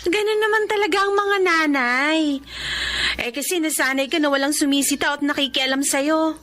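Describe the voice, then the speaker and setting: Young female confidante for Filipino radio drama: very high pitch, sensible, chiding. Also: normal speed, a bit bright. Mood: chiding, advising. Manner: advising, chiding.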